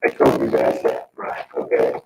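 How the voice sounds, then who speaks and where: Male board member, extremely low monotone, halting delivery, picked up from afar. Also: gravelly. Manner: halting, repeats phrases as he explains. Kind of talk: informal public meeting discussion. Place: indoor town board meeting room. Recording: echoey, noisy meeting room, distant mic.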